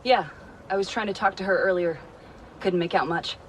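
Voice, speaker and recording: A weary reflective pilot. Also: male voice, high-pitched, moderate pace, a little hiss or hum, low-quality recording. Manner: calm, reflective, frank. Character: weary desert-born pilot, reflective and blunt. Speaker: game character. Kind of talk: video game cutscene dialogue. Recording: game cutscene with loud music.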